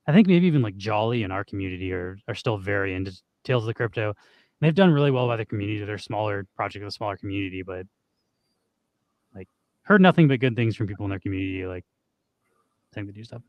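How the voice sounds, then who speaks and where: Hoarse guy streaming, talks fast, rambles a lot, dry close mic, faint music under it. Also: lowish voice, animated, no room sound, dull audio. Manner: rambling, heavy use of "like". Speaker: streamer co-host. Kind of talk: casual stream chat.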